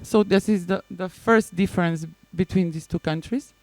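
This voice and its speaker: A woman with a Serbian accent giving a prepared conference presentation in a hesitant, halting manner, often restarting phrases. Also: on the low side, darkish tone, no echo, rough recording. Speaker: conference presenter, maritime spatial planner.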